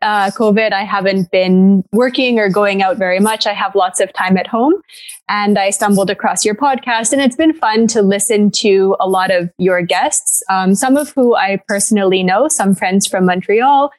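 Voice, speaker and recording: A woman's voice: mid-range voice, normal speed. Woman from Canada, casual podcast guest. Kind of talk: casual interview chat.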